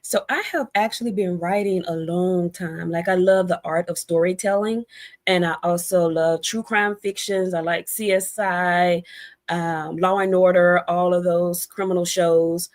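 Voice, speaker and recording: A woman's voice: lowish voice, fairly even intonation, measured pace. A middle-aged American woman talking casually as an interview guest, in a chatty, storytelling way with conversational asides. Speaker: guest, debut novelist.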